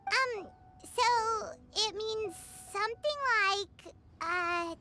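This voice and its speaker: A woman voicing a tiny, eager fairy companion in a video game, with a squeaky, childlike voice. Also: extremely slow, bright, forceful tone, exaggerated voice. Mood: curious pondering. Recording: music behind the voice.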